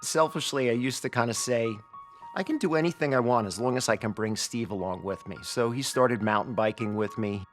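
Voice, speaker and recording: A man's voice: medium pitch, fairly quick. Middle-aged man over background music. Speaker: interviewee, father. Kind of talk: reflective documentary interview.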